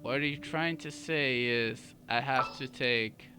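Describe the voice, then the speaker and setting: A man's voice: mid-range voice, measured pace, background noise. A man doing casual video game commentary, playfully muttering to himself and echoing game text. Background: loud game music.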